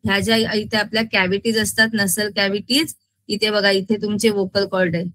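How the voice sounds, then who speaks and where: A woman's voice: medium pitch, little pitch movement, brisk, a bit bright, rough recording. A woman school science teacher with a Maharashtrian accent, lecturing interactively with English terms mixed in and often asking check questions as she explains.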